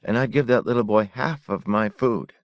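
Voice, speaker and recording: A man's voice: medium pitch, moderate pace, dry recording, voice pushed up, natural voice, poor audio. A man reading aloud and voicing a frightened young boy who pleads through tears. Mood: pleading. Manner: pleading, sobbing.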